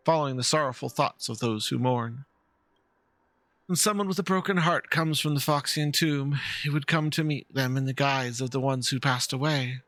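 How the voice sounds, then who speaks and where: A man voicing a dignified, grieving fox-woman pilot veteran, measured and earnest, in a game dialogue reading. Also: mid-range voice, fairly bright tone, no echo, close to an everyday voice.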